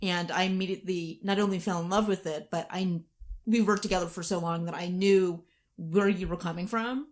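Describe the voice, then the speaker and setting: Low-voiced woman chatting on a podcast. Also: steady tone, fairly quick. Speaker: podcast co-host, writer and performer. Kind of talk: casual behind-the-scenes podcast chat.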